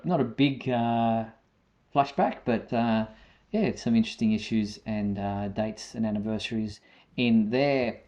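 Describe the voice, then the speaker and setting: A man hosting a live fan news show, announcing items in a halting way with frequent fillers. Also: medium pitch, darkish tone. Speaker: show host.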